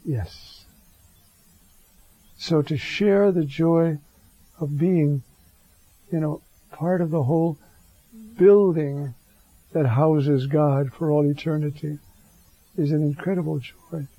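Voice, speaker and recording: A hoarse man giving a slow, reflective homily as a priest, halting often with fillers. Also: on the high side, steady tone, dry recording, highs cut off.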